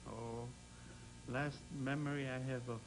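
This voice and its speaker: A man in his sixties with a Belgian accent, a guest musician chatting softly and modestly on a talk show. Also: mid-range voice, fairly even intonation, measured pace. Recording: noisy 1980s TV studio broadcast.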